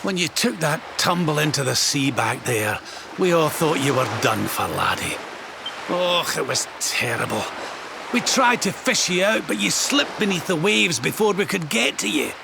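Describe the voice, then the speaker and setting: A man playing a warm, excitable older companion in a game, telling things in a folksy, animated way. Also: on the high side, normal speed, vocal fry, background noise, heavily acted. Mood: excited, relieved. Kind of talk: scripted game cutscene acting. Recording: music behind the voice.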